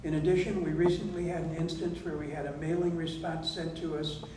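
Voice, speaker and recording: A man on a town council, reporting and reading out correspondence in a measured, formal way at a public meeting. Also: on the high side, little pitch movement. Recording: echoey meeting hall, distant mic, noisy.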